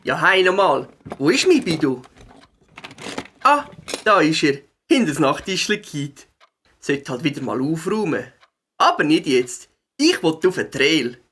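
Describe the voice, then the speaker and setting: An eager little beaver biker from a children's audio play, acted by a woman. The delivery is expressive and very animated, with a Swiss German accent. Faint outdoor ambience and birdsong sit behind the voice. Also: low-pitched, unhurried, a little hiss or hum, heavily acted. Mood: eager, busy. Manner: expressive, talking to self. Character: plucky little beaver, keen young mountain biker.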